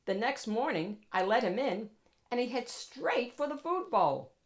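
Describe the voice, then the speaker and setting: A woman reads a children's picture book aloud as host, with expressive, dramatic emphasis. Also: fairly high pitch, a little slow. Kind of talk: animated storybook narration for children.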